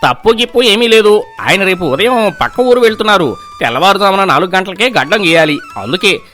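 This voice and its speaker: A man voicing a landlord's brisk servant clerk in a storybook tale. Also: high voice, lively intonation, bright, forceful tone, soft background music. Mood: brisk, loud. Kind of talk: animated storybook dialogue.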